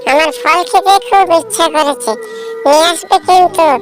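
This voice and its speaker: A woman voicing a wheedling, demanding little girl bird chick in a children's cartoon, coaxing in a whiny, drawn-out way. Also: very high voice, over music. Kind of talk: children's cartoon fable acting.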